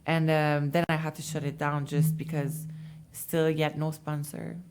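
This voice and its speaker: A woman speaks in a low register. As an interview guest she tells her story in an easy, chatty way, full of fillers. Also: level tone, a little slow, a little mellow, a little hiss or hum. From Montreal, Canada. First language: French. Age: 20s. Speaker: interview guest, bikini competitor. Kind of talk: casual interview talk.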